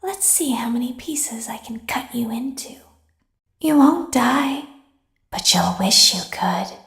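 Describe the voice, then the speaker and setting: A woman playing a calmly cruel, vengeful animatronic in a horror video game, slipping into breathy whispers, with echo. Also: fairly high pitch, slow, full character voice. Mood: coldly threatening. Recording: echoing voice.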